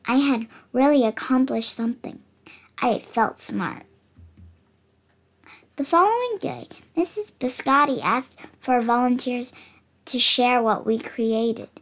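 A girl of about eight reading a picture book aloud, carefully and expressively, with small stumbles. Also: sits high. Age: child, about 8. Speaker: child reader of picture books. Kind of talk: storybook read-aloud.

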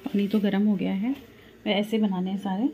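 A woman's voice: medium pitch, little pitch movement, a little fast, narrow, tinny audio, slight background noise. Homely woman explaining a home recipe. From Rajasthan, India. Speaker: home cooking vlogger. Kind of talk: casual cooking walkthrough. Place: home kitchen.

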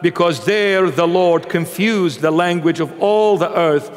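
A middle-aged man from Iraq preaches from a church altar in a high, emphatic voice. He reads scripture and explains it with animated force. The sound hangs in the long reverb of a large sanctuary. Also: unhurried. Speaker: bishop preacher. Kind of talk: sermon with Bible reading.

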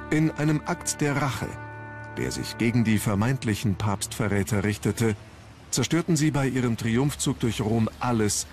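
A man reading scripted historical documentary narration in a measured, formal storytelling manner. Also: on the low side, a little mellow. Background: background music.

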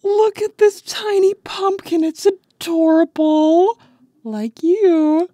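A woman's voice: very high voice, slow pace, warm tone, no room sound, studio quality. A woman voicing a flirty, teasing bat thief in a cartoon comic dub, coy and playful. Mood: adoring. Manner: coy, playful, drawling endearments.

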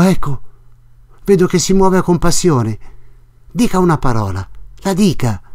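High trembly young woman begging for mercy, desperate, a guy reading it out in an audiobook. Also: darkish tone. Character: frightened pleading young woman captive. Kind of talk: audiobook reading of a classic novel.

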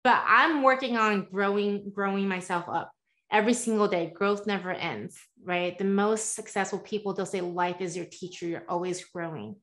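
A woman's voice: medium pitch, normal speed. A woman in her forties, born in the US and partly raised in Taiwan, telling her story as a guest coach over a video call from a room at home, earnest and with many asides. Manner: earnest, animated hand gestures, frequent "right?" asides. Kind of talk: candid interview storytelling.

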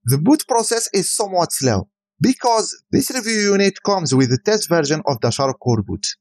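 High-pitched man narrating a tech review. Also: sing-song, moderate pace, darkish tone. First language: Arabic. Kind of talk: scripted voice-over product review.

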